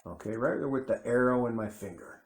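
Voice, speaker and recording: A man's voice: mid-range voice, a little fast, echoey room. A man giving a casual, rambling bible commentary to camera, often breaking off and restarting his sentences. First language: English. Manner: rambling, self-interrupting, conversational. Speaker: independent bible teacher.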